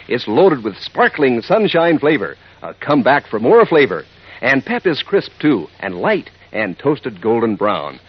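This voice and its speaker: A man's voice: mid-range voice, breathy edge. A man doing a 1940s radio commercial in folksy, enthusiastic sales patter. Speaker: radio pitchman. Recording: muffled old radio recording.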